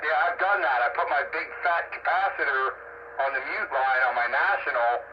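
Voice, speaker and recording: Man, slightly high voice, rambling folksy ham operator, casual chat, dull radio sound with clear static. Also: moderate pace. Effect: AM two-way radio transmission. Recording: muffled noisy shortwave radio signal. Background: clear radio static and hiss.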